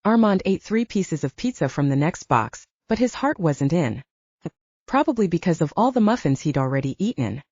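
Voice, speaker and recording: A woman's voice: on the low side, very animated, moderate pace, darkish tone, husky, no echo, dull audio. A woman reading scripted first-person audiobook narration.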